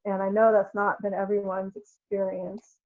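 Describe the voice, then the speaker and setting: A woman in her forties speaks earnestly on a podcast, pausing often with ums as she gathers her thoughts. Her voice comes through a thin, tinny, phone-like line. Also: mid-range voice, level tone. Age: 40s. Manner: hesitant, earnest, many ums. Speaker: podcast co-host. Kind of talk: casual podcast chat.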